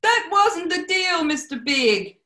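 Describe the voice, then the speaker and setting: A woman's voice: very high-pitched, very animated, takes it slowly, pushed and bright, heavily acted. A woman playing a plucky, loyal bootlegger, earnest and firm, in a radio play. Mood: earnest, defiant. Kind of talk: 1940s-style radio play acting.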